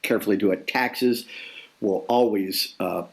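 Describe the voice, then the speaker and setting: Male author guest, sixties, low creaky voice, hesitant conversational delivery. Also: rough recording. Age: 60s. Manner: hesitant, halting, often repeating words. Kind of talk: reflective interview conversation.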